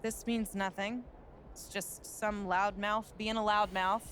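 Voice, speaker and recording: A calm publicist playing down a scandal and planning the next interview. Her voice sits at a middle pitch. Faint ambient noise hums behind her. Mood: reassuring. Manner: reassuring, measured. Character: calm female publicist handling the press.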